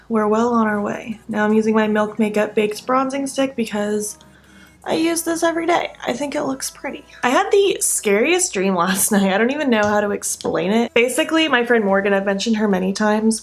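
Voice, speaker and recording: Sleepy chatty young woman with vocal fry. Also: mid-range voice, brisk, soft background music. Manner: chatty and rambling, with filler likes. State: sleepy-voiced. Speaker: beauty vlogger. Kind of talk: casual get-ready-with-me chat.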